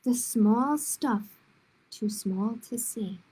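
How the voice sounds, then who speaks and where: A woman's voice: fairly high pitch, drawn-out words, mellow, slight background noise, rough recording. A woman hosting a children's storytime, reading picture books aloud in simple phrases with casual asides to her listeners. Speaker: children's librarian, storytime host.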